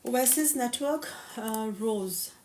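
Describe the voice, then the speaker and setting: A woman hosting a casual live stream who reads viewers' questions aloud and answers them briskly and confidently, mixing English and Urdu. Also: a little high, warm tone, a little hiss or hum. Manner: confident, matter-of-fact market opinions. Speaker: crypto livestream host.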